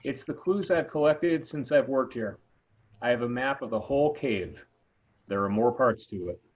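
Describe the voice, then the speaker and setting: A man playing an exasperated but game high school teacher in an amateur script read over a video call. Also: normal speed, breathy edge, lightly acted. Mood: confiding. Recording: tinny phone-like video call.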